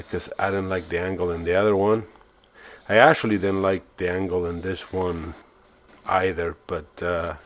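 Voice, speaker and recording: A man from Argentina, narrating his woodturning work in a relaxed, self-mocking voice-over with many fillers. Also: low voice, a little hiss or hum. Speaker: woodturning channel host.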